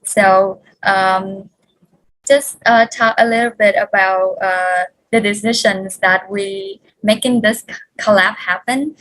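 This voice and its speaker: A woman hosts her podcast in a friendly, casual chat. Her voice sits at a middle pitch, and she often pauses with small fillers. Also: fairly even intonation, fairly bright tone, poor audio. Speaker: podcast host.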